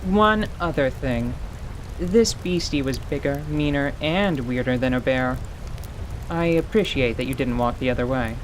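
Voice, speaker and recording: A man's voice: mid-range voice, unhurried, slight background noise, close to an everyday voice, poor audio. A man playing a commanding, sardonic tiefling adventurer in a fantasy audio drama, with wry, florid, formal speech. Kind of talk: fantasy radio drama acting. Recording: steady rain and stream ambience behind.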